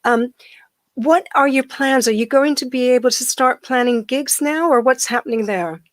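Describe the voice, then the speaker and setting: A woman's voice: high voice, normal speed, no room sound. Woman radio host with a cold. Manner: chatty and warm, laughs often. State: has a heavy cold. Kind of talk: radio interview.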